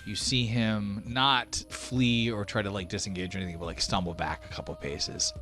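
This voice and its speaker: A man's voice: lowish voice, fairly even intonation, moderate pace, sharp tone, faint music behind. A man narrating a tabletop roleplaying game as game master, in casual language with some swearing.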